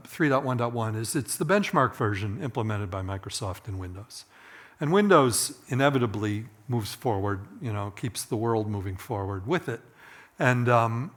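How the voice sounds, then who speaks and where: A middle-aged man presents at a technical conference with a slightly hoarse voice at an ordinary male pitch. His delivery is informal and conversational, with hedged asides as if he is thinking aloud. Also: fairly bright tone. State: voice getting hoarse.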